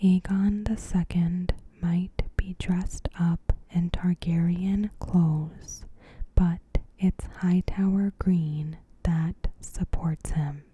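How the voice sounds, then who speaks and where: A woman softly whispering a scripted recap in a gentle, measured, breathy ASMR style. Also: on the low side, fairly even intonation, slight background noise. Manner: gentle, measured, careful phrasing. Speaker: ASMR host.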